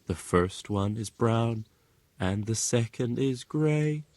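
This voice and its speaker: A man playing a simple, gentle medieval stable hand in a video game, with a halting, earnest manner. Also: medium pitch, expressive, dry recording. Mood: sing-song reciting. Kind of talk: video game cutscene dialogue.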